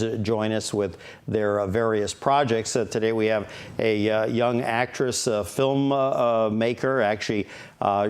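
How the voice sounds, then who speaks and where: Low-voiced man hosting, halting with fillers. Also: a little mellow. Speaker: talk show host. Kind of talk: studio interview. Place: TV studio.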